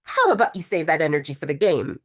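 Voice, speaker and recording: A gruff young male coach, acted out by a woman narrator in an audiobook drama. He is protective and commanding, with a grumbling edge and lively swings of pitch. The recording is dry. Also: fast talker, no room sound.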